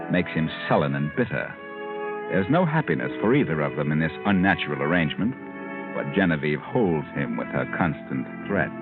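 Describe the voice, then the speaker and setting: An actor narrating a 1940s radio mystery as a sinister, knowing storyteller who taunts the characters. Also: male voice, extremely low pitch. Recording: tinny old radio broadcast with music.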